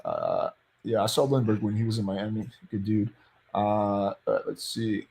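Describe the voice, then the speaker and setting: A man with a deep voice giving blunt, profane dating advice in a casual panel chat, reading out viewer questions. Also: big pitch swings, unhurried, creaky, a little mellow.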